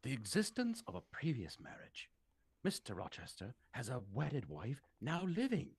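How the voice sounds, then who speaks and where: A man acting a forceful, accusing gentleman in a period radio drama, emphatic and declamatory. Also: mid-range voice, wildly swooping pitch, moderate pace. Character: forceful, accusing gentleman exposing a secret marriage.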